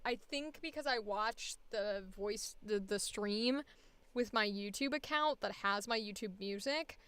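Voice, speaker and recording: A woman's voice: mid-range voice, unhurried, fairly bright tone, smooth, no room sound. A woman voice actor chatting casually between auditions, thinking aloud in a rambling way, recorded close on a studio mic. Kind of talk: casual livestream chat while recording auditions.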